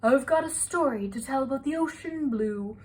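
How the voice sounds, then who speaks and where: A woman's voice: high-pitched, moderate pace, voice pushed up, close to an everyday voice. Woman doing an eager boy storyteller. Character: eager boastful young male storyteller.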